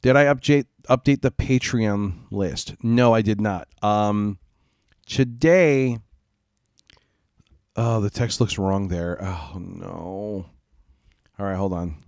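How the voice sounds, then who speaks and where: A congested man with a stuffy nose talks slowly and loosely while hosting his live stream, apologizing and trailing off as he goes. His voice sits on the low side, and the sound is dry and close. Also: a little mellow, smooth, dry recording. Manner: rambling and apologetic, many fillers and restarts. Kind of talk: casual live-stream chat. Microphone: home streaming mic.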